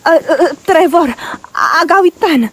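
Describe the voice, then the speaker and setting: A woman's voice: extremely high pitch, measured pace. A woman with a Filipino accent playing a flirtatious, defensive cheating wife in an audio drama, coy and dismissive. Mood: panicked.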